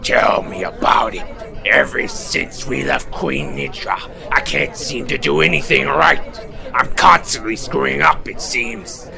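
An actor voicing a scheming, boastful villain army commander in a fantasy audio drama, forceful and emphatic. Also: male voice, medium pitch, lively intonation, crowd noise. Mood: self-pitying. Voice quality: gravelly. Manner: forceful, emphatic, slow and menacing.

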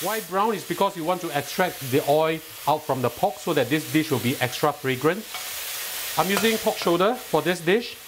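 Singaporean male cooking host, somewhat high voice, patient step-by-step instruction, keen on the food. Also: lively intonation, moderate pace, background noise. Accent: Singaporean English. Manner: instructive, step-by-step, lightly enthusiastic. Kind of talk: cooking tutorial voice-over. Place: home kitchen.

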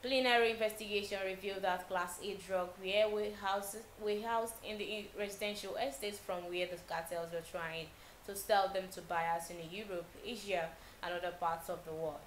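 A young Nigerian woman reading a news bulletin as a TV newsreader, steady and measured with small slips. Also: mid-range voice, reverberant, a little hiss or hum, low-quality recording. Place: TV news studio.

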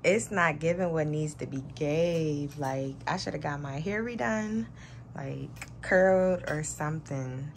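A woman's voice: deep voice, a little slow, creaky. A woman in her twenties with an American accent, chatting casually and in slang for her vlog on a handheld camera. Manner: informal, slangy. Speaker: vlogger. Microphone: handheld camera at arm's length. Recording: noisy handheld camera recording.

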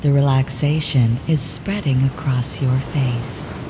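A woman reading a guided relaxation script in calm, measured, repetitive instructions, with ocean waves behind her. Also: a bass voice. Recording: ocean surf noise with added reverb.

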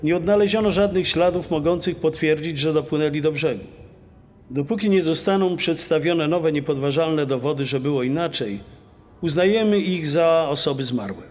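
A male investigator played by a man delivers a firm official statement in a dramatised true crime story. His voice is somewhat high. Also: moderate pace, darkish tone, natural voice. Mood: formal, firm.

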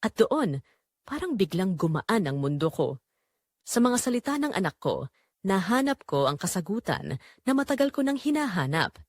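A woman with a Filipino accent reads dramatic first-person scripted narration as a storyteller, in an emotional, expressive way. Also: medium pitch, moderate pace, no echo, clean studio sound.

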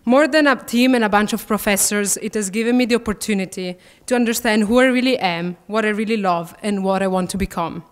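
A young woman in her twenties with an Italian accent, a student athlete giving a prepared speech at a podium, warm and gently self-deprecating. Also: mid-range voice, brisk, few pauses, clear, resonant tone. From Italy. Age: 20s. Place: large banquet hall, at a podium. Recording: reverberant banquet hall.

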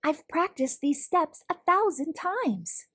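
A woman's voice: very high voice, very animated, takes it slowly, a bit bright, voice pushed up, full character voice. A woman voicing a shy, earnest young schoolboy in a children's storybook. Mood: excited. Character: shy, timid young schoolboy who loves tap dancing.

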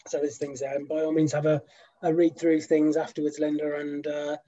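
A man's voice: a little high, monotone, a little fast, mellow. A middle-aged man hosting a casual interview over a video call from his home office, chatty and agreeable. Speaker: host. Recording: dull lo-fi video call audio.

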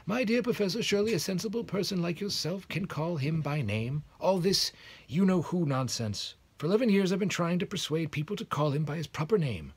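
A man acts out an old storybook wizard, kindly and whimsical. The voice is lifted high and swings gently up and down, husky and breathy, with measured, polite phrasing. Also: animated. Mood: calm, gently amused.

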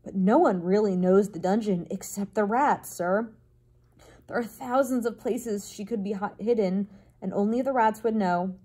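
A woman's voice: mid-range voice, normal speed, mellow, breathy edge, close to an everyday voice. A woman voicing a small, polite male mouse in a storybook, earnest and formal as he pleads. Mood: urgent. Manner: formal, deferential, insistent. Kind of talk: storybook character voice.

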